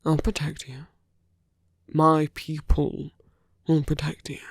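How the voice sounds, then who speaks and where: An intimate, dry-sounding villain acted by a man for an ASMR audio drama. He speaks slowly and deliberately with a gravelly creak, dropping into murmurs as he coaxes, protective and quietly possessive. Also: a little high, monotone, no room sound, full character voice. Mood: protective, coaxing. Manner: hushed, halting, deliberate, murmured asides. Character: smooth-talking male villain, protective, coaxing, quietly possessive.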